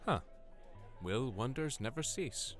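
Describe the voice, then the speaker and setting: A man's voice: expressive, takes it slowly, soft background music. A man playing a wry, century-old male vampire who talks conversationally in an audio drama. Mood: calm. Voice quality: low-pitched.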